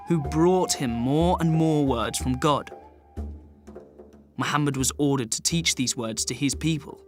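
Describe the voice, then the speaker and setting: A man narrating a scripted children's radio story in clear, measured storytelling. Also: on the high side.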